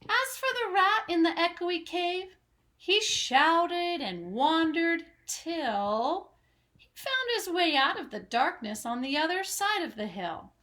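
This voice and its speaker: A woman's voice: very high-pitched, big pitch swings, slow, pushed and bright. A woman reading aloud to young children as host of a story time, in a dramatic, singsong storytelling manner. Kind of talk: expressive picture-book read-aloud for young children.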